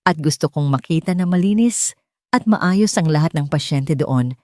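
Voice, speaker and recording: A woman's voice: low-pitched, lively intonation, moderate pace, warm tone, no room sound. A woman voicing a stern, domineering female nurse supervisor who gives orders in a cold, commanding way. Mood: curt, commanding.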